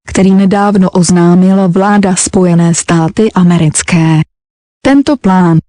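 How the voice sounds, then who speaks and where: A woman's voice: medium pitch, mellow, no echo. A woman announcer reading a scripted government emergency bulletin in a formal, impersonal manner. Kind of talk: scripted emergency broadcast narration.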